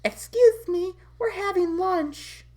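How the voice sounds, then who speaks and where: A woman's voice: very high voice, takes it slowly, darkish tone, pitched above the performer's own voice. Woman doing a prim, bookish young woman. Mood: indignant. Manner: prim, formal, wordy.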